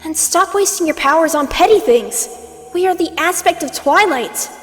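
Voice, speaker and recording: High-voiced condescending mystical mentor played by a woman. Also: animated, normal speed. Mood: stern. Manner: lecturing, sardonic, theatrical. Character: stern, teasing older aspect of Twilight, condescending mentor. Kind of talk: scripted fan comic dub acting. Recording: long reverb over music.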